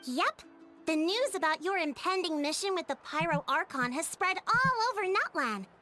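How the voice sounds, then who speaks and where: Casting: earnest young female chief, very high voice, flustered, anime game, music underneath. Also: animated, moderate pace. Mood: upbeat explaining. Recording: music playing behind the voice.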